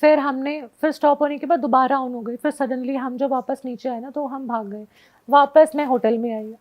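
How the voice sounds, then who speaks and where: A woman's voice: high-pitched, fairly even intonation, normal speed. A young woman telling a personal story casually as a guest, mixing English words into her talk. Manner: mixes Hindi with English words. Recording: dull lo-fi recording.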